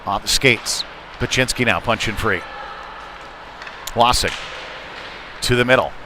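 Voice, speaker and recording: A middle-aged or older man doing live ice hockey play-by-play in a conversational, halting way with short clipped phrases. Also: lowish voice. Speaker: play-by-play commentator. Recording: noisy live arena sound. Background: rink noise and ambience.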